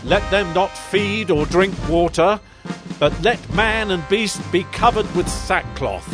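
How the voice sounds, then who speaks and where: An actor playing a solemn, penitent ancient king who makes a grave, halting proclamation in a dramatized scripture reading. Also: sits high, expressive. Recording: music under the voice.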